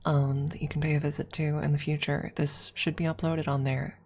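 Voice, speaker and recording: A woman streamer chatting casually and softly on an ASMR livestream, with a husky voice. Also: low-pitched, flat delivery, a little fast.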